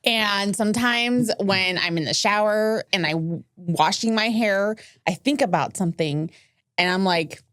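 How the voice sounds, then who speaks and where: A woman's voice: medium pitch, measured pace, a bit bright, no room sound, studio quality. A woman in her forties chatting casually and candidly as a podcast guest, informal and a little self-deprecating. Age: 40s. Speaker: podcast guest, company accountant. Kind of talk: casual chat.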